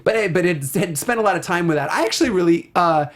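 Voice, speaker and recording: A man's voice: high-pitched, expressive, fast talker, a bit bright. A man hosting a casual discussion show, speaking in an enthusiastic, effusive way. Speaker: talk show host. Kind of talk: casual film and video game discussion.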